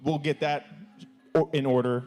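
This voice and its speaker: A man's voice: a little high, fairly even intonation, moderate pace, darkish tone. A young man hosting a youth service live stream, chatting casually and playfully. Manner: playful, stumbling over foreign words. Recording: long church hall reverb.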